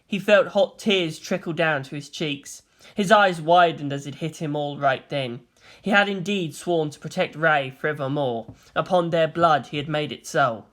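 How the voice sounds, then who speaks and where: A storyteller reading dramatic scripted fiction in a solemn, expressive way. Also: medium pitch, normal speed. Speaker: fan fiction narrator.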